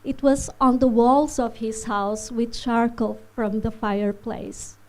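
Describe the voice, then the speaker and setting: Slow, hesitant woman from Portugal. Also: fairly high pitch, steady tone, a little mellow, poor audio. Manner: hesitant, many fillers, non-native phrasing. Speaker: animation filmmaker giving a masterclass. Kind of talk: presentation to a live audience. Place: festival hall.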